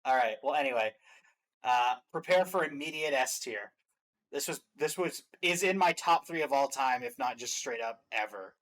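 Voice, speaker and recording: A man co-hosting a reaction video, talking casually and retelling scenes in an animated, quoting way as he ranks game characters. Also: mid-range voice, moderate pace, rough recording. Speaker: co-host of a reaction channel.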